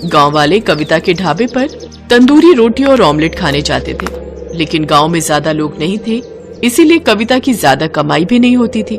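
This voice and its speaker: A woman narrating a moral cartoon story in an expressive, melodramatic way. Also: fairly low pitch.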